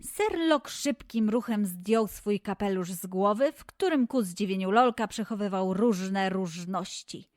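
A woman narrating a scripted fairy tale for children in an expressive, deliberate manner. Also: medium pitch, no echo, studio quality. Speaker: children's storyteller.

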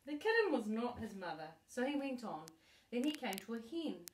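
A middle-aged woman reading a children's story aloud expressively, with deliberate emphasis for a young listener. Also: on the high side, sing-song, slight background noise. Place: living room sofa, indoors. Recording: echoey living room.

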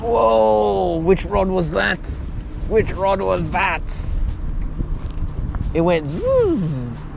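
Aussie guy narrating his night fishing off a wharf, chatty, yells when a fish hits, bit of fry in the voice. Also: high voice, sing-song, drawn-out words, pauses often. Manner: chatty, exclaims loudly when a fish bites. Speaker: fishing vlogger. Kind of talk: excited live commentary while fishing. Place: wharf by a river at night, outdoors. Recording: outdoor night recording with background noise.

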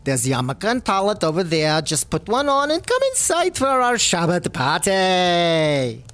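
A woman's voice: fairly low pitch, big pitch swings, unhurried, a bit bright, dry recording. A woman playing a chatty, nosy female rabbi who gushes and pushes as hostess in a comedy audio drama. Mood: effusive welcome. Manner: effusive, nosy, swooping emphasis. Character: chatty, forward-thinking female rabbi, pushy matchmaking hostess.

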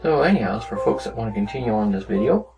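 A man giving a casual, rambling, unhurried demonstration of a hobby project, talking as a maker. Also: fairly low pitch, expressive, gravelly, over music, rough recording. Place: small cluttered indoor workshop.

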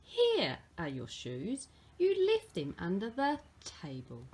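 A woman voicing a hearty, dismissive storybook father in an emphatic, drawn-out manner. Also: medium pitch, huge pitch swings, darkish tone, a little hiss or hum. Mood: amused, dismissive.

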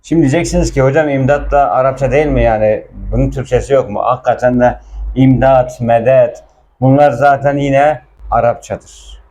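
A man's voice: mid-range voice, moderate pace, warm tone. A man giving a casual language lesson, explaining film dialogue and repeating words with their translations. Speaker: Arabic teacher.

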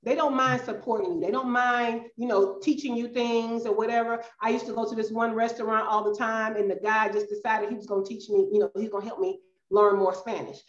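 A woman's voice: a little high, fairly even intonation, brisk, hardly any pauses. Southern woman guest, middle-aged, chatty, echoey home-room call sound. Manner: chatty, addresses listeners as y'all. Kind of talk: casual interview chat. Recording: roomy, slightly dull video-call audio.

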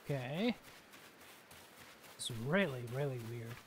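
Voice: medium pitch, expressive, slow, a little hiss or hum. A gamer giving casual, exclamatory gameplay commentary, reacting aloud to the play. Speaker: gaming host.

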